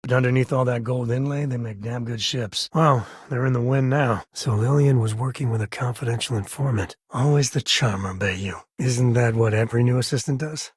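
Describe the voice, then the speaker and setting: Casting: male actor as wry space-frontier cowboy for a game, husky mid-range voice, folksy, easygoing, close dry sound. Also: medium pitch, normal speed, hardly any pauses, no room sound, lightly acted. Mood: wry, casual. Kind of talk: acted video game companion dialogue.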